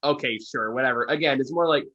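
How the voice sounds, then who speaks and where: A man's voice: mid-range voice, fast talker, pushed and bright, lo-fi sound. A man co-hosting a casual, unscripted review chat, talking in a wry and rambling way. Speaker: movie reviewer, co-host.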